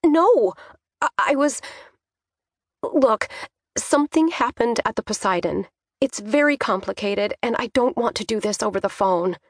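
A woman voicing a distressed daughter in an audiobook, pleading and halting as she tries to keep control. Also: lively intonation, no room sound, studio quality. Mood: hesitant, pleading. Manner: pleading, halting, high and strained. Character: tearful young woman, shaken daughter pleading for calm.

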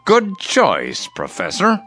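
Wildly animated cranky boarder. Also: male voice, high voice, takes it slowly, soft background music, exaggerated voice. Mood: gloating. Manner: brash, needling. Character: cranky, cocky male boarding-house resident, easily exasperated. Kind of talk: radio drama acting.

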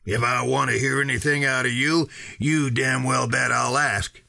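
A man's voice: mid-range voice, lively intonation, unhurried, bright, forceful tone, no room sound, studio quality, full character voice. A man voicing a growling, hot-tempered rancher. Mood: commanding. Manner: growling, cursing, rough rural speech. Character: gruff burly middle-aged male rancher, hot-tempered.

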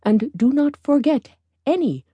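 A woman plays a ragged old beggar woman from a fairy tale, wise and deliberate. The voice is raised in pitch and slow, imploring and instructing as it goes, with a dry, close sound. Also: expressive, mellow, dry recording, highs cut off. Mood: commanding, instructive.

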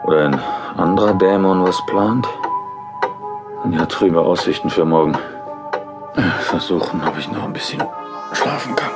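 An actor playing a hard-bitten, exasperated police inspector in a German radio play, blunt and dramatic. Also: male voice, low-pitched, normal speed, pauses often, over loud music. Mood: uneasy, weary.

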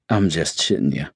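Very deep, guttural male zombie. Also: fairly bright tone, no room sound, clean studio sound, exaggerated voice. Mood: mocking. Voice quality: gravelly, guttural. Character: crude, mocking male zombie who growls gibberish. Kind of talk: audiobook character acting.

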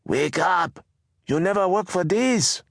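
Man putting on a high-pitched scolding mother, shrill and toothless, lively rising and falling voice, husky edge. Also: measured pace, no room sound, studio quality, full character voice. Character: fierce toothless mother in a slum shack.

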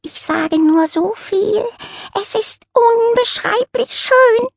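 Very shrill, haughty lady cat. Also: lively intonation, slow pace, gravelly, dry recording, studio quality, full character voice. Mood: secretive, proud. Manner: formal, affected, gushing. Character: haughty, excitable female cat, a grand lady.

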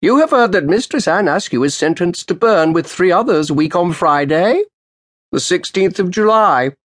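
A man voicing a stern, sardonic old man past sixty with a sharp voice and a clipped manner. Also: sits high, expressive, normal speed, no echo, voice pushed up. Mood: grave.

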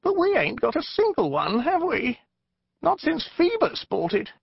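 Brief: a very high, jittery little male frog spirit, fretful and whiny, dull dry recording. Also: huge pitch swings, measured pace, vocal fry, no echo, exaggerated voice. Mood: anxious. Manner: fretful, whiny, common-speech. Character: timid small male frog-shaped jinni sentry.

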